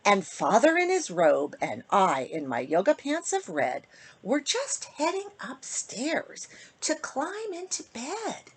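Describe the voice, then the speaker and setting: Female children's storyteller, husky edge, lively swooping pitch, chatty and expressive with young listeners. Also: takes it slowly. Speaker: children's book author reading aloud. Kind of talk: casual intro and expressive storybook reading to children.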